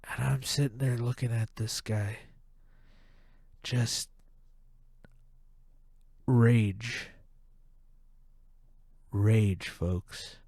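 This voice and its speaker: A man's voice: lowish voice, mellow, dry recording, clean studio sound. A man with a stuffed-up nose, hosting a podcast and ranting with heavy profanity in a casual monologue. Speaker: podcast host.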